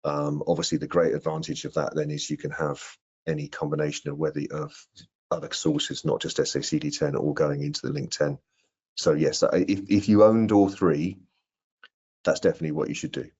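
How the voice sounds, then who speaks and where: Very deep-voiced man on a muffled call. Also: little pitch movement, brisk, a little mellow, breathy edge. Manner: hesitant, repeats words while explaining. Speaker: product presenter answering audience questions. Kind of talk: informal technical Q&A. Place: indoor room on a video call. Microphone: video call microphone. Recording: dull, band-limited video-call audio.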